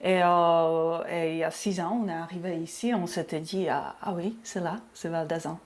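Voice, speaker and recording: Soft-spoken woman from Slovakia. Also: fairly low pitch, steady tone, moderate pace, a little hiss or hum. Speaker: interviewee, distillery farmer. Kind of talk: casual conversation.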